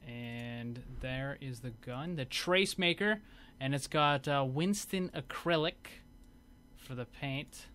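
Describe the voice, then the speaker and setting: A man's voice: medium pitch, slow, bright, forceful tone, a little hiss or hum. A man giving casual commentary over game footage as a video host.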